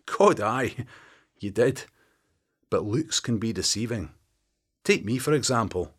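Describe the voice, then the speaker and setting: A man voicing a wry, teasing Scottish detective past forty with a deadpan humour. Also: fairly low pitch, unhurried, slightly rough.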